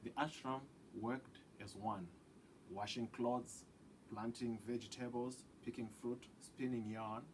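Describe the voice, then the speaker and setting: A man reading a children's picture book aloud with deliberate, dramatic phrasing that drops into hushed tones. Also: mid-range voice, fairly even intonation, slight background noise, rough recording. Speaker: read-aloud storyteller.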